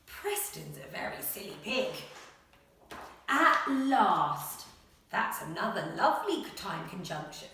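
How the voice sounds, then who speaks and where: A woman primary school teacher speaking to children and reading a picture book aloud in a dramatic, expressive way. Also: mid-range voice, slight background noise. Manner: dramatic, expressive, with gasps and suspenseful pauses. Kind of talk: animated children's story reading and lesson. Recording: very echoey room, slightly distant mic.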